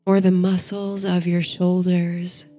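A woman narrating a bedtime story and guided meditation in a soothing, hushed, gentle manner. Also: fairly low pitch, flat delivery, slow pace. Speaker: host and narrator of a sleep story series. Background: faint music.

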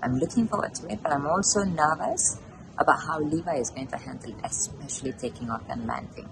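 Low-voiced woman vlogging over travel noise. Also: a little fast. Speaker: vlogger, mother of a toddler. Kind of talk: casual travel vlog talk to camera. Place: busy airport terminal. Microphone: camera held at arm's length. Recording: clear ambient travel noise behind.

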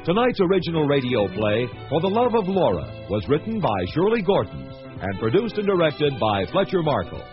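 Lively, higher-pitched male radio announcer. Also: moderate pace, few pauses. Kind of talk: scripted radio credits announcing. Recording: muffled old radio broadcast over music.

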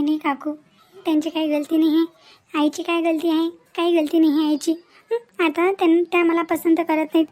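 Young cartoon bride, played by a woman: devoted, anxious, pleading, with a very high voice and repeated phrases. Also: darkish tone. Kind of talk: cartoon family melodrama acting.

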